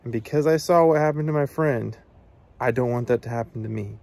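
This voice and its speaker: A man's voice: mid-range voice, a little fast, vocal fry, darkish tone. An American man in his thirties, an outdoors video host, talks to the camera in a casual, earnest, plain-spoken way, giving practical advice. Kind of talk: casual instructional talk to camera. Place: outdoors among evergreen trees.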